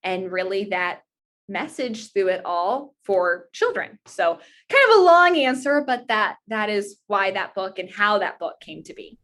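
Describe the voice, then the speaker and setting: A woman's voice: medium pitch, very animated, unhurried, a bit bright. A young American woman giving conversational interview answers as a podcast guest. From Indiana, United States. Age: young adult. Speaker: podcast guest, author and pageant titleholder.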